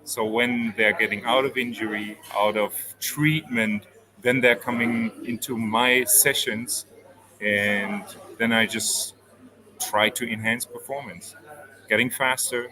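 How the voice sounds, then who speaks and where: A man's voice: mid-range voice, steady tone, a little slow, a crowd in the background, low-quality recording. A man from Switzerland, a performance coach, answering interview questions in careful explanations with frequent ums. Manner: explains step by step with many fillers.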